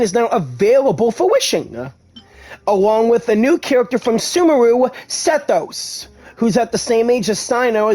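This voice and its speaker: A man's voice: high voice, a little mellow. A man giving casual let's-play commentary as a gaming YouTuber.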